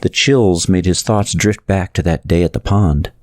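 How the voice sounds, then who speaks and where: A man's voice: deep voice, normal speed, a little mellow, no room sound, studio quality. A man reading a novel aloud as a scripted audiobook narrator.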